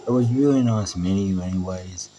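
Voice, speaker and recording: A man's voice: low-pitched, a little fast, background noise, close to an everyday voice. A man playing an eager, casual, loyal companion in an amateur audio drama. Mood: casual, friendly.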